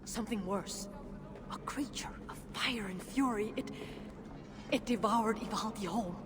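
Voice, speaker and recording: A woman's voice: medium pitch, a little slow, natural voice. A weary, embittered dwarf leader, played by a woman, speaks from a long, echoing hall with noise behind her. She is guarded and sardonic, with the solemn delivery of a dramatic fantasy game. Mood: grim. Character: weary, bitter female dwarf leader of a refugee shelter. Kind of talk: dramatic video game voice acting. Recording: long reverberant hall, noisy ambience.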